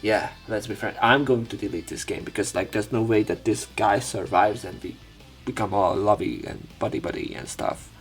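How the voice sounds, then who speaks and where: A man's voice: lowish voice, normal speed. A man doing a let's play, reading a visual novel aloud as dramatic narration mixed with casual commentary. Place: voice-over on game footage. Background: faint game music.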